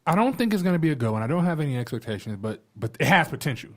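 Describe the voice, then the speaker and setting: A man's voice: on the high side, big pitch swings, racing. Blunt man in a casual debate. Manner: blunt, profane, repeats himself. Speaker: podcast panelist.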